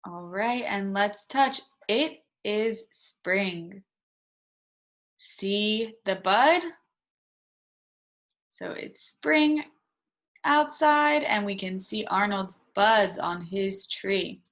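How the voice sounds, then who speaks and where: A woman's voice: mid-range voice, a bit bright. A woman teacher doing an interactive read-aloud for young children, in short simple sentences, prompting with questions and stressing key words. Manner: instructional, emphasising key words slowly for children.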